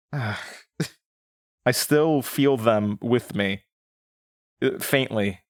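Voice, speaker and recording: A man acting as an earnest, reflective radio show host in an audio drama, speaking in a measured way with pauses. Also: medium pitch, dry recording, clean studio sound. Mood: grieving, resolved.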